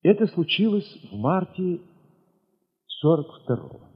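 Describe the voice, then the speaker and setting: A middle-aged man reading a first-person story as expressive, reflective dramatic narration, with weighty pauses and a husky voice. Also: fairly high pitch. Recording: muffled, long reverberant hall sound.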